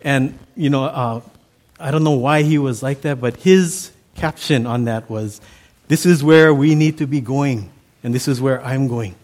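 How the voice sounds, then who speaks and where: A middle-aged man from Hawaii with a Hawaiian accent, Pidgin his first language, preaching a sermon in a relaxed, chatty, folksy way. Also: mid-range voice, measured pace. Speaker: pastor.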